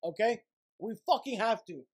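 A man's voice: sits high, animated, normal speed, breathy edge. A young man in his twenties chats casually as he hosts a livestream. His delivery is blunt and irritable, peppered with swearing. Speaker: livestream host. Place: small dim gaming room at home.

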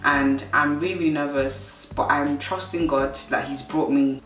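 Young woman, Nigerian accent, earnest soft vlog confessional, flat delivery, roomy distant mic. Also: low voice, moderate pace. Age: young adult. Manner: earnest, soft-spoken, faith-filled. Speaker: vlogger. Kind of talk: reflective sit-down vlog talk. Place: living room, indoors. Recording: roomy sound, mic set back.

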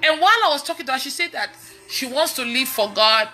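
Female online preacher, Nigerian accent, thirties. Forceful, slightly high voice, chatty testimony style, emphatic. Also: fast, faint music behind. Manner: animated, emphatic, repeats phrases for emphasis. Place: indoors in a room at home.

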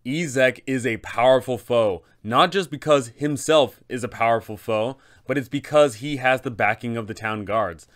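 A man in his thirties hosting a tabletop game guide, telling the story in an emphatic, deliberate way. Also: medium pitch, a bit bright. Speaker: host and game master giving advice.

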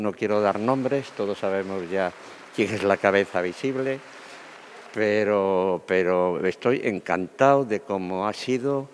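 Older man, low measured voice. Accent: Spanish from Spain. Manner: gracious, measured praise. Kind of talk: interview. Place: inside a church. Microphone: handheld reporter microphone.

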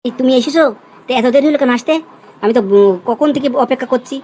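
A man acts out a bossy young village husband for a comedy cartoon. The voice is high and races along very fast, brash and colloquial. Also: darkish tone. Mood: impatient. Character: scheming young husband, bossy, foul-mouthed village schemer. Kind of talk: amateur comedy cartoon dubbing.

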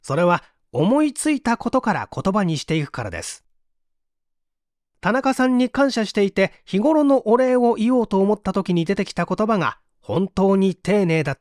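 A man narrates a book in a high voice with lively, swinging intonation. His delivery is scripted and explanatory, laid out clearly step by step. The recording is dry and close, with no room sound. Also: no room sound. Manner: measured, explanatory read-aloud with acted example lines. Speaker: audiobook narrator.

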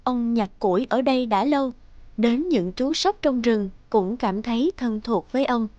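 A woman's voice: a little high, animated, normal speed, warm tone, dry recording, muffled recording. A woman giving scripted storybook narration as the narrator of a folktale. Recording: music behind the voice.

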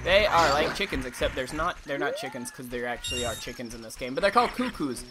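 A young man with a slight lisp giving casual, rambling commentary over a video game, swearing freely and now and then breaking into silly high voices. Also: animated, quick pace, over a noisy crowd. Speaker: let's play commentator. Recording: game music loud behind voice.

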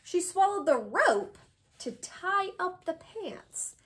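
A woman around her thirties reading a children's picture book aloud, expressive and sing-song, with drawn-out pauses for young listeners. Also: very high-pitched, slight background noise. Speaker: read-aloud host, tutor. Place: small indoor room with bookshelves.

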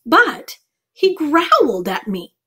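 A woman's voice: high voice, wildly swooping pitch, slow pace, sharp tone, pitched above the performer's own voice, heavily acted. A woman voicing an indignant, aggrieved farm aunt in a storybook, exclaiming emphatically.

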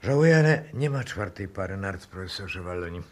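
An actor playing a grave, resolute scientist in a 1960s radio drama, speaking in a measured, courteous, solemn way with a husky voice. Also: male voice, low-pitched, expressive, slight background noise. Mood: firm, courteous. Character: grave, resolute scientist disillusioned with his work.

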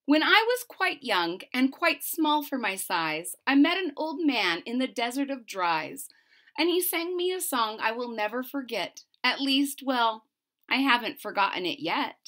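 A woman's voice: sits high, sing-song, unhurried, fairly bright tone. A woman in her forties reading a children's picture book aloud expressively, stressing key words theatrically and adding casual asides. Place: small room at home.

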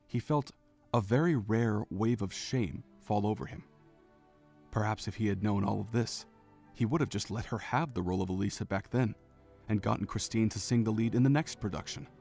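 A man narrating a fan audio drama in a steady storytelling manner, with faint music behind. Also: on the low side, vocal fry. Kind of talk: scripted narration.

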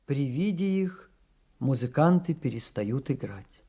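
A man's voice: mid-range voice, expressive, unhurried, warm tone, husky. A man reading scripted narration as the narrator of a radio play.

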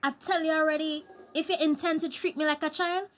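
High-pitched, defiant Tobagonian daughter. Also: female voice, fairly even intonation, fairly quick, a bit bright, a little hiss or hum. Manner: cheeky, confrontational. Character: defiant, insolent grown daughter, young village woman. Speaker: actor in radio drama. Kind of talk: radio drama acting.

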